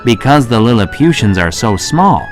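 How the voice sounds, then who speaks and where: An actor playing a curious, polite traveler who narrates his own children's story with expressive, clear phrasing. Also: mid-range voice, normal speed, soft background music, close to an everyday voice. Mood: wondering, descriptive. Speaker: first-person narrator.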